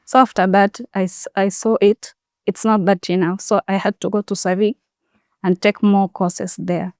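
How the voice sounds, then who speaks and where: A young woman whose first language is Anuak tells her education story in an interview. She speaks at a middle pitch in a dry, close sound, linking each step with "so". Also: level tone, darkish tone, no room sound. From South Sudan region, Anuak speaker. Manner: measured, step-by-step storytelling with frequent "so". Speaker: solar installation facilitator.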